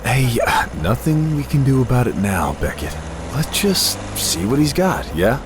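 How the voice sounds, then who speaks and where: A man's voice: mid-range voice, very animated, a little slow, noisy background. A man playing an easygoing detective partner in an audio drama, talking in a hesitant, placating way.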